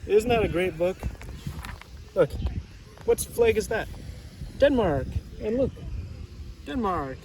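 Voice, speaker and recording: A man's voice: high voice, sing-song, takes it slowly, pauses often, a little mellow, a little hiss or hum, rough recording. A man reading a storybook aloud as a teacher, casually chatting and prompting listeners with questions.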